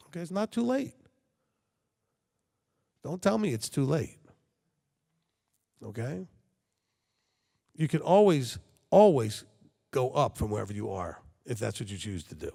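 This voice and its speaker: A man's voice: a little high, creaky, big-room sound. A man preaching a sermon to his congregation, talking conversationally and checking in with frequent rhetorical questions. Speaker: congregation leader.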